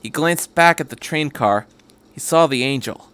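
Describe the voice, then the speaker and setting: A man's voice: a little high, normal speed, a bit bright, clean tone. A man reading a story aloud as a podcast host, in scripted narration. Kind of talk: scripted narration of fan fiction.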